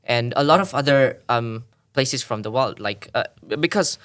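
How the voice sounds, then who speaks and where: A man's voice: mid-range voice, animated, normal speed, a bit bright. A man from Tanzania, a podcast guest, explaining at length in a thoughtful way with frequent restarts. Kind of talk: explanatory conversational interview.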